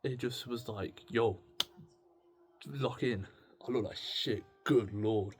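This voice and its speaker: A young British man talking casually to camera in a confessional vlog, rambling, halting and self-deprecating. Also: medium pitch, noisy background. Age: young adult. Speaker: video blogger. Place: indoors at home with music on in the background.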